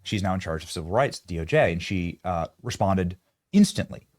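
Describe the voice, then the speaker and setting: Animated male talk-show host. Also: low-pitched, brisk. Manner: animated, rising incredulous questions.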